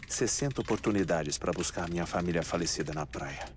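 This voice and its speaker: A man with a Brazilian accent playing an eccentric, courteous, grieving scientist in a video game dub, speaking in a measured, articulate way. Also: low voice, a little hiss or hum, close to an everyday voice. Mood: matter-of-fact. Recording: music under the voice.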